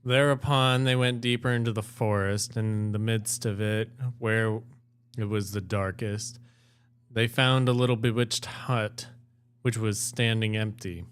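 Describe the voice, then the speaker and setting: A man's voice: fairly low pitch, flat delivery, unhurried, smooth. A man reading fairy tales aloud as an amateur co-host, moving between storybook narration and casual chat.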